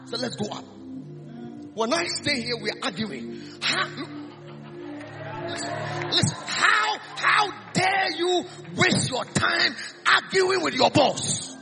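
A man with a Ghanaian accent preaching a sermon, calling out lines for the congregation to repeat, dropping low and then rising to shouts. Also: big pitch swings, slow pace, long pauses, music in the background. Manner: fiery call-and-response preaching, swings from hushed to shouting.

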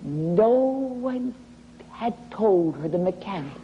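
Slow, dramatic woman preacher, dull noisy recording. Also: medium pitch, animated, mellow. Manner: dramatic, emphatic, drawn-out words with breathy whispers and emphatic repetition. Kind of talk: revivalist sermon with testimony. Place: sanctuary, preaching to a congregation.

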